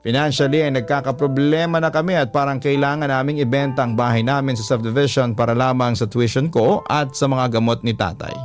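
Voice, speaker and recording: A man narrating a listener's letter in a heartfelt, measured way. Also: medium pitch. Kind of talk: reading a listener's letter aloud.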